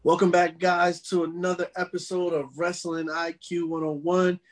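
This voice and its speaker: A man hosting a podcast, chatting casually with a guest in an energetic, slangy way and laughing a lot. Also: high voice, moderate pace, background noise. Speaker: podcast host.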